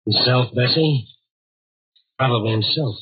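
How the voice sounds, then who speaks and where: A man's voice: mid-range voice, unhurried, breathy edge. An actor playing a tough, wry newspaperman who narrates in clipped slang in a 1950s radio noir style. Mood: probing. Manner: wry, hard-boiled, clipped slangy talk. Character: tough, wry male newspaperman narrating his night adventure. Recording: muffled phone-like old radio recording with music.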